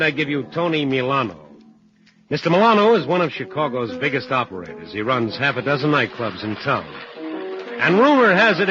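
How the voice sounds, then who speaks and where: An actor playing a wry, worldly lawyer-sleuth, narrating in sardonic hardboiled style for an old-time radio drama. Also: male voice, fairly high pitch. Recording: dull old radio recording. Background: music.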